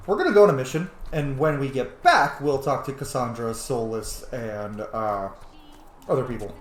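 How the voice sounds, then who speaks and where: Young roleplaying streamer, mid-pitched and lively, informal think-aloud commentary with cursing. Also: moderate pace, echoey room, slight background noise. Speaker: video game streamer, roleplayer. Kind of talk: casual playthrough commentary.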